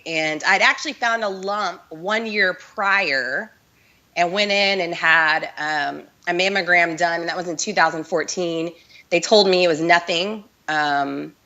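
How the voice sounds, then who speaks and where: A woman in her thirties with a Texan accent joins by video call. She is hoarse from the flu and talks casually, with lots of "like"s. Her voice sits low, with a bright edge. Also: dull audio. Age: 30s. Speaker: guest. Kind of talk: casual remote interview.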